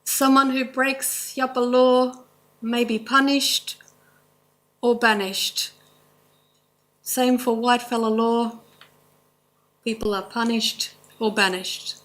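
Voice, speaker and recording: Australian woman explaining stuff slowly in short bits, kinda high voice, court talk. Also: fairly even intonation, fairly bright tone. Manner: measured, slow, in short listed phrases. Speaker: language presenter at a court inquest. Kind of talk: formal court presentation.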